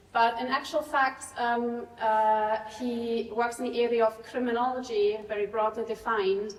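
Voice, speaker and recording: Hesitant woman in an echoey hall. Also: on the high side. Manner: hesitant, filler-heavy. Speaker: lecture host introducing a speaker. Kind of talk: formal introduction with many fillers. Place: lecture hall. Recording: echoey hall, mic from far off.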